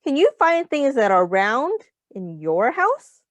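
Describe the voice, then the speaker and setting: A woman reads a picture book to young children like a teacher, with a highly animated, swooping pitch. She takes her time, explaining in simple phrases and putting questions to the listener. The sound is close and dry. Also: slow pace, dry recording.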